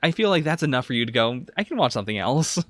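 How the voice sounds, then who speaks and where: A man's voice: medium pitch, very animated, quick pace, fairly bright tone, no echo, clean studio sound. A man co-hosting a podcast, discussing a review casually in tentative, hedging phrases. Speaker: podcast co-host.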